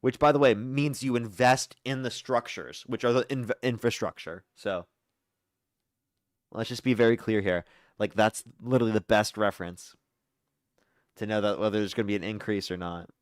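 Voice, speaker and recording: A young man hosting a live call-in debate stream, briskly screening callers with sarcastic asides. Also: lowish voice, fairly even intonation, dry recording, studio quality. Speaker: livestream debate host.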